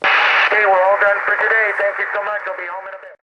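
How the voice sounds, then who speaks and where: High-pitched man calling out radio checks. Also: little pitch movement, fast, muffled recording, very noisy. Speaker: CB radio reviewer. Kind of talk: radio check test calls and product review talk.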